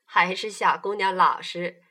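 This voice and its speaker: A woman narrating a children's radio play as a storyteller, in an animated style. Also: on the low side, measured pace.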